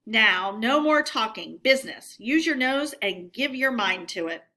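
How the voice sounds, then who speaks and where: A woman voicing a kindly, resolute male rat in a storybook, with a chummy, old-fashioned gentlemanly manner. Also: fairly high pitch, expressive, exaggerated voice. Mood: hearty, determined. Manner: brisk, reassuring, old-fashioned chummy talk.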